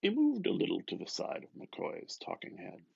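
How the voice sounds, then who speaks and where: A man reading a novel aloud with expressive, dramatic narration, musing and often dropping into a hushed murmur. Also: low voice, normal speed, gravelly, slightly rough, dry recording, highs cut off. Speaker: reader. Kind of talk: expressive literary audiobook narration.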